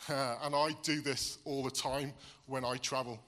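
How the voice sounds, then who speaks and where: A man's voice: mid-range voice, steady tone, moderate pace, a bit bright. A man preaching a sermon, raised in England and Wales, with a Midlands accent touched by a Welsh lilt, talking in a conversational way and often saying "you see". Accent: English Midlands with a slight Welsh lilt.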